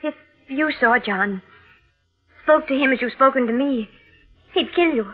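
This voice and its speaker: An actress playing an anxious, inquisitive wife in a 1940s radio mystery, speaking in a hesitant, probing way. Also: female voice, sits high, measured pace, narrow, tinny audio. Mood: wary, tense. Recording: thin vintage radio broadcast, music underneath.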